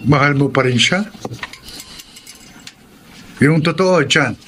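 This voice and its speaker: An actor with a Filipino accent playing a concerned friend in a radio drama, questioning frankly. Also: male voice, a little high, slow pace, fairly bright tone, a little hiss or hum. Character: concerned, probing male drinking buddy.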